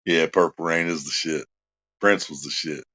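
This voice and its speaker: A man's voice: a bass voice, steady tone, moderate pace, no echo, muffled recording. A man, chatting crudely at home and joking around. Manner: crude joking, bursts into loud off-key singing. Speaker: vlogger. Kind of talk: casual chat at home.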